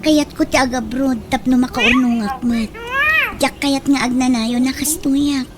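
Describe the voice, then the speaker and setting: A woman as a high-pitched pleading young daughter. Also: steady tone, measured pace. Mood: pleading, determined. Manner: pleading, insistent. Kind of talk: radio drama acting. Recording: loud background noise.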